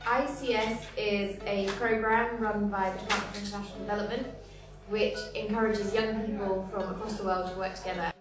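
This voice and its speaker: A woman in her twenties calmly and articulately answering interview questions. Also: medium pitch, a little fast, over music. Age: 20s. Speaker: returned volunteer. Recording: echoey room from far off.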